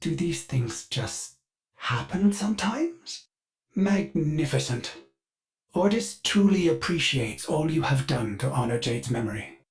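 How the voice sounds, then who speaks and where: A man's voice: fairly high pitch, expressive, unhurried. An actor voicing a loyal, eager male ship's AI who speaks of himself in the third person in formal, sing-song lines. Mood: earnest. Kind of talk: game voice lines.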